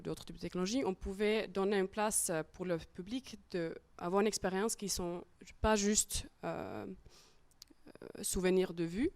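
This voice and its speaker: A woman with a Finnish accent, a circus artist speaking on a panel, often pausing with fillers as she searches for words in French. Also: medium pitch, darkish tone, dry recording. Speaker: circus artist and show creator. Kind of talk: panel talk, casual explanation.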